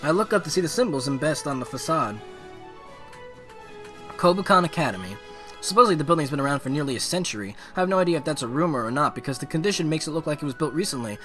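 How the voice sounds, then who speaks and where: Excitable guy streamer, bright voice, swingy pitch, slangy game commentary w music behind. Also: quick pace. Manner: excitable, casual slangy talk. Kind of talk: live gaming commentary mixed with reading game text aloud.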